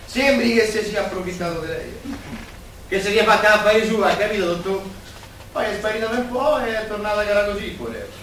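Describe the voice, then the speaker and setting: An actor playing a chatty, warm-hearted working-class Roman man in a radio play, with colloquial, expressive, gossipy talk. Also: high-pitched, brisk. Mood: sad, confiding. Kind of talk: Italian stage-style radio theatre dialogue. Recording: distant, very echoey room, faint noise.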